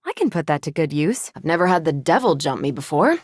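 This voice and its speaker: Wry, low-voiced superhero soldier. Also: female voice, big pitch swings, fast, breathy edge, no echo, clean studio sound, heavily acted. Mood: wry, casual. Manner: wry, casual, self-assured. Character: confident female superhero soldier, wry, brash and loyal. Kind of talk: video game voice acting.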